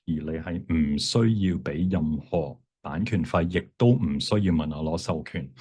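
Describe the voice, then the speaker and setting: Low-voiced man giving an informal workshop. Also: dark tone, breathy edge. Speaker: workshop presenter, font creator. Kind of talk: informal software demonstration, explaining.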